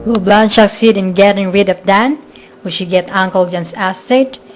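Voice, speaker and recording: A woman's voice: medium pitch, normal speed, music in the background, close to an everyday voice. A woman playing the narrator of a chilling amateur radio drama, dramatic and teasing with suspense. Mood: ominous, teasing. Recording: faint background noise, amateur recording.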